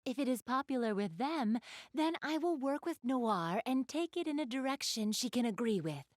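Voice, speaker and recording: A woman's voice: sits high, animated, moderate pace, dry recording, studio quality. A woman playing an elegant young goddess in an anime video game, speaking to the player with polite, genteel, formal diction and a keen gamer's refinement. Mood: wistful, determined.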